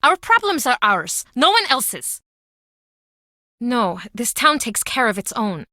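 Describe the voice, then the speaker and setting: A woman's voice: fairly high pitch, sing-song, slow, bright, forceful tone, no room sound, studio quality, full character voice. An actress playing a guarded, heartbroken townswoman with an earnest, emotional delivery in a mystery video game. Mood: guarded, firm. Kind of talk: dramatic video game voice acting.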